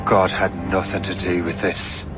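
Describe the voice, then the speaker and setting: A man's voice: deep voice, little pitch movement, moderate pace, natural voice. A man playing a hot-blooded, brooding adventurer assassin in a dramatic video game cutscene. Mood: outraged, accusing. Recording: orchestral music behind the voice.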